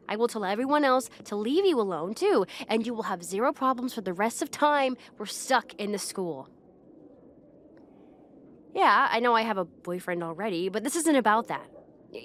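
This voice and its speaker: A woman playing a bossy, easily flustered teenage popular bully in an audio roleplay, exasperated and pushy, halting when embarrassed. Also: fairly high pitch, a little hiss or hum, natural voice. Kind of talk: acted ASMR roleplay monologue to the listener.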